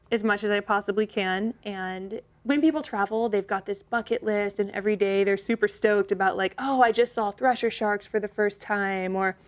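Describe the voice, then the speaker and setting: An American woman hosting a showcase, talking casually and informally in a chatty way. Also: mid-range voice. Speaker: host.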